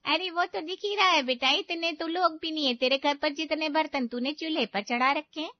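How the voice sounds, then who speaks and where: A woman acting an outspoken middle-aged aunt from a family story, high-pitched and full of scolding exclamations. She is affectionate and indignant at once. The sound is dry and a little dull. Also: brisk, no echo, lightly acted. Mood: indignant concern. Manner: scolding with exclamations and motherly concern.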